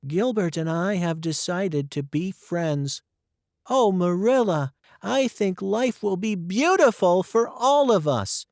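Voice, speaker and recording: A man voicing a cheerful, determined teenage girl in a storybook reading, lifting his voice high and eager. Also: big pitch swings, unhurried, gravelly, no echo, studio quality, full character voice. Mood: joyful. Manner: eager, bright, high-pitched girlish voice.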